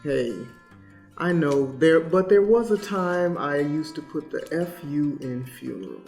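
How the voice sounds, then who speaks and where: A man playing a deadpan, principled taxidermist in a comic radio commercial. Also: fairly high pitch, unhurried, gravelly. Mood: matter-of-fact. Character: Jim, straight-faced, ethical small-business taxidermist. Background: guitar music.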